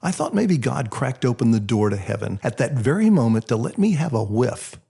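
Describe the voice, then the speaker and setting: A man reading his own first-person story as a scripted audiobook, in an expressive, reflective storytelling manner. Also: medium pitch, moderate pace, gravelly, breathy edge.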